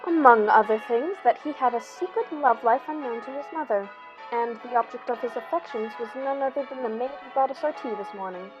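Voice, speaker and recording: A woman playing a composed, courteous male detective with formal, measured phrasing in a radio play. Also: on the high side, music in the background, close to an everyday voice. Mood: animated. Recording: dull lo-fi recording.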